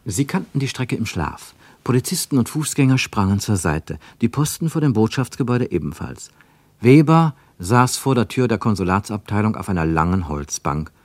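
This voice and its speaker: A man narrating a scripted radio drama in a measured, literary and dramatic manner. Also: on the low side, no echo.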